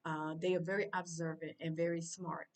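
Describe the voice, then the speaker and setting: A woman's voice: deep voice, level tone, fairly quick. A woman from the United States with an American accent, talking casually and informally to her viewers in a rambling way, with lots of fillers and restarts. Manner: addresses viewers directly and informally. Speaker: vlogger. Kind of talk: casual talk to camera. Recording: voice a bit distant from the mic.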